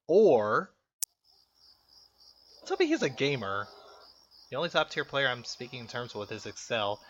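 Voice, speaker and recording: A man's voice: mid-range voice, bright, forceful tone. A man doing casual, jokey let's play commentary, reacting aloud to a game and reading its text. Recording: muffled lo-fi mic, music behind.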